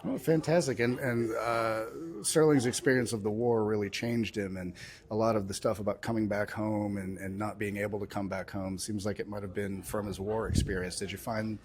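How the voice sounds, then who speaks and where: A man's voice: on the low side, fairly even intonation, moderate pace, darkish tone. A man working as an interviewer, casually asking questions in an upbeat, appreciative manner.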